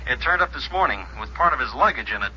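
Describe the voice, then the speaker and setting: An actor playing a brisk, matter-of-fact American insurance company man, clipped, in 1950s radio drama style. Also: medium pitch, animated, pushed and bright, husky, dull audio, exaggerated voice. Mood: businesslike, urgent.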